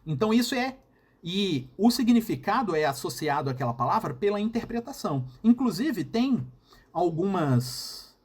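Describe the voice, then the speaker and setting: A man with a Brazilian Portuguese accent and a husky voice, giving a recorded university lecture and often checking in with the class. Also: high voice, sing-song, moderate pace. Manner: explanatory, frequent checking "tá?" and "né?".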